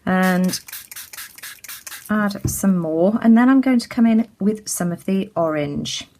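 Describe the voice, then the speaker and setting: A woman from the UK giving a casual craft tutorial as she works, chatty and full of ums, her voice a little croaky. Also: medium pitch, slow pace, dark tone, a little hiss or hum. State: slightly croaky. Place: indoors at a craft table.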